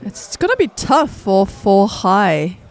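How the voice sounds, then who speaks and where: High-voiced Australian man commentating poker. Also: very animated, slow. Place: commentary booth.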